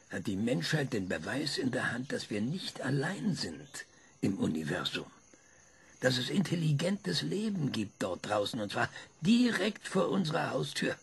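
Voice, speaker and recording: An actor playing a sardonic former researcher in a radio play, lecturing with impatient insistence. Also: male voice, medium pitch, a bit bright, breathy edge, exaggerated voice. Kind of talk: German radio play acting.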